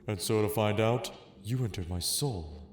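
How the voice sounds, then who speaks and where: A man playing a confident, taunting young master of dark games in a manga audio drama, with a cool, theatrical delivery. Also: lowish voice, normal speed, slight background noise, lightly acted. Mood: cool, guarded. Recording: echoey room effect.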